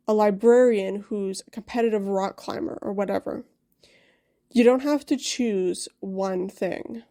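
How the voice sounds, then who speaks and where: A woman's voice: mid-range voice, warm tone. A young woman from Quebec telling her personal story to camera in a candid, chatty way, with frequent asides like "you know?". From Quebec, Canada. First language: English. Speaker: dental hygienist vlogger.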